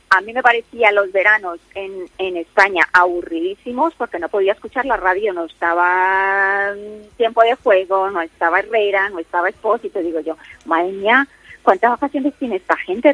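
A woman's voice: mid-range voice, fairly bright tone, slightly rough, dry recording. A middle-aged Colombian-born woman with a Spain Spanish accent, chatting as a caller, talkative with many fillers and restarts. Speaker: listener caller. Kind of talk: casual phone chat. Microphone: phone line. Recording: dull lo-fi phone line.

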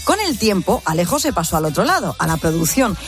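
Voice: mid-range voice, sing-song, brisk, fairly bright tone. Chatty radio music presenter. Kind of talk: casual music commentary.

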